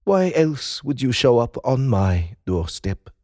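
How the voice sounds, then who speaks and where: Slow, measured, menacing Russian leader. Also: male voice, medium pitch, very animated, a little mellow, dry recording, studio quality. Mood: wry, probing. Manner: deliberate, formal, measured. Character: cold, formal, menacing male Russian president. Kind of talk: dramatized audiobook acting.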